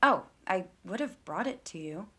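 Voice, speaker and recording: A woman's voice: mid-range voice, animated, normal speed, bright, forceful tone. A woman playing a guarded, sardonic massage therapist with clipped, deflecting replies in a naturalistic audio play. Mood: reserved, awkward. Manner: clipped deflections, sarcastic when riled.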